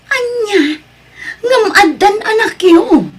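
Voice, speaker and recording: A woman's voice: extremely high pitch, lively intonation, takes it slowly, exaggerated voice. A woman acting a concerned middle-aged mother in an audio drama, questioning and admonishing as she speaks. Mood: shocked, scolding. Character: concerned middle-aged mother, scolding her grown daughter.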